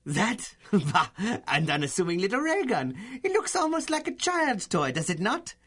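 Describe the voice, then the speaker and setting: An actor playing a pompous, fanatical German professor villain with a German accent in a 1930s-style radio adventure serial, gloating theatrically with drawn-out emphasis. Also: male voice, sits high, huge pitch swings, a bit bright, exaggerated voice. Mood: menacing, boastful.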